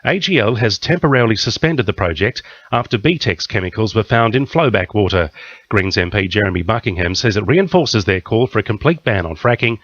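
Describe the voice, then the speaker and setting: Male newsreader, Australian accent, lower pitch, dry close sound, scripted radio bulletin. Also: animated, a little fast, a bit bright, no echo, lo-fi sound. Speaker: news reader.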